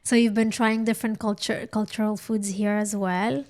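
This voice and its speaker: A woman's voice: medium pitch, level tone, normal speed, darkish tone. A woman hosting a casual conversational interview, affirming what her guest says as she talks. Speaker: podcast host.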